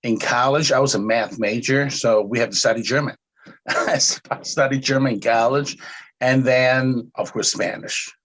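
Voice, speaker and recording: Man, relaxed interview guest. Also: medium pitch, poor audio. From Taiwan. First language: Cantonese. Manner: relaxed, with frequent "uh" pauses. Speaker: podcast guest. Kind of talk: casual interview answers.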